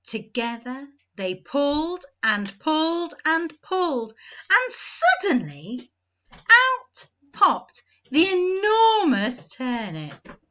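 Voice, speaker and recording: A woman reading a storybook aloud to young children, in an emphatic, deliberate manner with expressive phrasing. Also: high voice, muffled recording.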